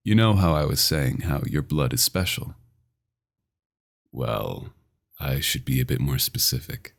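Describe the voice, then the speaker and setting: A man playing a dominant, possessive vampire doctor in an ASMR roleplay, intimate and teasing with a smooth menace. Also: animated, a little slow, full character voice. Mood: possessive, menacing. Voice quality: very deep, gravelly.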